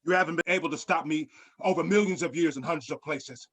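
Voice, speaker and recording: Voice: mid-range voice, quick pace, a bit bright, slightly rough. A performer playing a gleeful, taunting mad scientist professor in a theatrical sci-fi script reading, gloating with maniacal laughter. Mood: smug, menacing. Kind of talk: live dramatic reading of a sci-fi screenplay.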